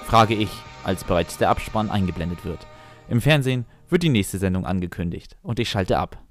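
A man's voice: deep voice, lively intonation, brisk. A young man reading a first-person fan fiction story as scripted narration with acted dialogue. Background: background music.

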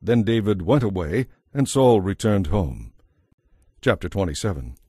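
Low-voiced man narrating scripture. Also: sing-song, measured pace, dark tone, breathy edge, dry recording, clean studio sound.

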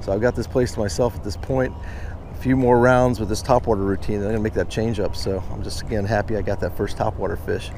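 A man's voice: fairly low pitch, steady tone, fast, noisy background. An American man in his thirties or forties giving casual, chatty commentary to viewers as a fishing vlogger, recorded outdoors. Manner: chatty, informal, addresses viewers as guys. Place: outdoors beside a pond.

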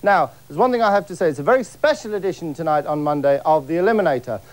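A man's voice: fairly high pitch, expressive, quick pace. A young man hosting a TV game show in a studio, with a camp, mock-grand showman delivery. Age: young adult. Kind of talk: theatrical showman presenting.

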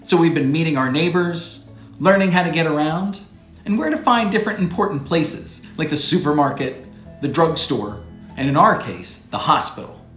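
Higher-voiced American man presenting for children. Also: lively intonation, moderate pace, fairly bright tone, echoey room, faint music behind. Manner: addresses young viewers in clear, simple phrases. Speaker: host of a children's read-aloud show. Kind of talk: scripted children's presenting and poem read-aloud. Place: small furnished room indoors.

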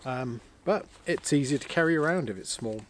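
A man with an ordinary mid-range voice talks through his camping gear in an easy, unhurried way. He is sitting in a warm canvas tent on a winter night, showing each item to the camera as he speaks. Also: mid-range voice, noisy background. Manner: relaxed, chatty, thinks aloud with fillers. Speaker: outdoor vlogger. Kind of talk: casual gear review and camping vlog.

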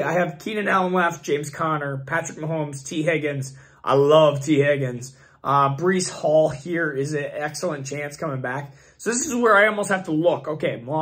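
A young man talks in a casual, rambling way, stopping often on fillers as he thinks aloud. His voice is hoarse and worn out, and it sits a little high for a man. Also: a bit bright. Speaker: fantasy football channel host. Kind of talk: casual screen-share commentary.

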